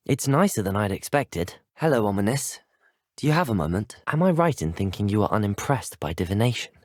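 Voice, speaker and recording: Teenage wizard schoolboy, British accent, earnest and inquisitive, courteous video game hero, lively swooping intonation, slightly husky, close dry sound. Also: male voice, mid-range voice, no room sound. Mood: polite, friendly. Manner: courteous, well-spoken. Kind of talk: scripted video game dialogue voice lines.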